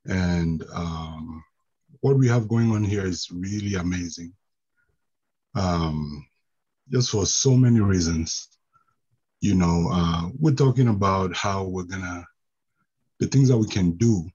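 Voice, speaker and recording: A man who is a student in an IT training program, sharing his thoughts on a webinar in a thoughtful way, with many filler pauses. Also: low-pitched, darkish tone, breathy edge, muffled recording. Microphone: video call.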